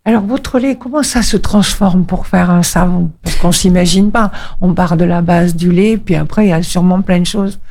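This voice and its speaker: Creaky, mellow female co-host. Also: lowish voice, moderate pace, hardly any pauses. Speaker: radio co-host. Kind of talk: casual conversational interviewing.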